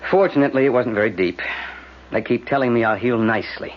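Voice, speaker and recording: A man's voice: medium pitch, quick pace, lightly acted. Dry, sardonic lawyer played by a man. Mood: wry. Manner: dry, wry, grumbling. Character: weary, sardonic male city defense lawyer. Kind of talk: vintage radio drama acting. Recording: dull, noisy old radio recording.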